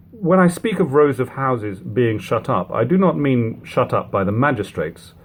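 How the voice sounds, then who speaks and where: A man reading an old literary book aloud in a measured, phrase-by-phrase narration, on a lo-fi home recording. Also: on the low side, a little mellow. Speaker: audiobook reader. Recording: lo-fi home recording, background hum, noise.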